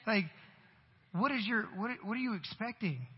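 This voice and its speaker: Male pastor, 40s, husky and high-pitched, conversational sermon with emphatic peaks; long hall reverb, dull recording. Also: expressive, moderate pace. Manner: conversational preaching with rising emphatic bursts. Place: church sanctuary.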